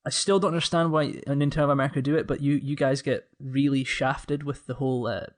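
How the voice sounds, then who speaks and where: A man with a medium-pitched voice chats casually as a podcast co-host. He talks with a fan's enthusiasm and slips into joking grumbles in an informal, conversational way. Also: steady tone, fast. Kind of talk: casual chat.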